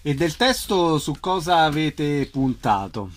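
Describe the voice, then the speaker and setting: A man's voice: a little high, sing-song, slow. A man asking short, conversational questions as an interviewer.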